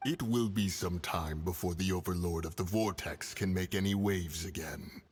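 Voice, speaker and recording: A deep, husky ancient adeptus. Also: male voice, normal speed, a little mellow. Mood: grave. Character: stern, ancient adeptus, grave and watchful. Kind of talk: game cutscene voice acting.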